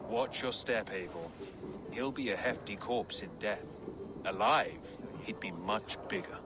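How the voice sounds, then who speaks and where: An actor playing a courteous, calculating medieval English reeve with a measured, dryly ironic manner. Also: male voice, on the low side, big pitch swings, creaky, background noise, exaggerated voice. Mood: dry, knowing. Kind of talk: video game character acting.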